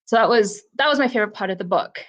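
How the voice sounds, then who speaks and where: A woman's voice: mid-range voice, very fast, fairly bright tone, lo-fi sound. Reflective woman from Zimbabwe on a video call. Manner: reflective, personal, frequent "um" and "you know" fillers. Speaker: book club co-presenter, professor. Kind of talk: virtual book discussion talk.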